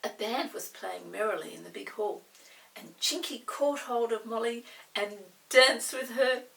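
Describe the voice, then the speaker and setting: Animated, unhurried woman storyteller. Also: fairly high pitch, recorded from a distance, rough recording. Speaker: children's storyteller. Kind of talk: expressive storybook read-aloud.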